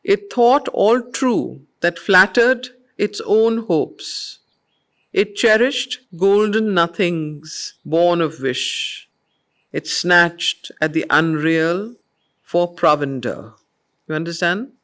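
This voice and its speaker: A middle-aged woman with an Indian accent, reading poetry and explaining it in a deliberate, emphatic, didactic manner as a study circle teacher. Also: on the low side, lively intonation. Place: group study session.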